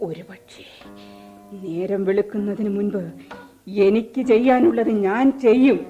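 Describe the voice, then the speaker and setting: Actress as a middle-aged mother, radio drama, urgent, pleading, mid-pitched, background music. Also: measured pace, mellow, lo-fi sound, close to an everyday voice. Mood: desperate. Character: older village mother, desperate then gentle. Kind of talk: 1970s radio drama acting.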